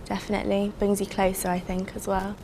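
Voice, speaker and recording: A young woman in her twenties, a design student, giving soft, brief interview answers with frequent fillers. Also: medium pitch, monotone, fast talker, slight background noise. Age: 20s.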